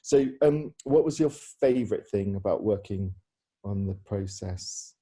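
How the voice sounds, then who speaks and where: A man's voice: fairly low pitch, lively intonation, a little slow, mellow. A man hosting an informal question-and-answer session, phrasing his questions in a hesitant, rambling way. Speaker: interviewer and theatre director.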